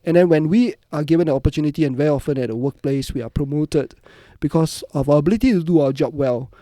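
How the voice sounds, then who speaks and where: Singaporean man, podcast host, higher-pitched voice, mellow tone, reflective conversation, very dry sound. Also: quick pace, dry recording.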